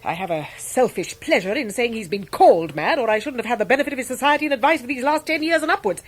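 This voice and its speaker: An actress playing a brusque, eccentric older English great-aunt in a radio drama, blunt, emphatic and fiercely protective. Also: female voice, a little high, animated, fast talker. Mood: brisk, probing. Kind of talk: 1990s British radio drama acting.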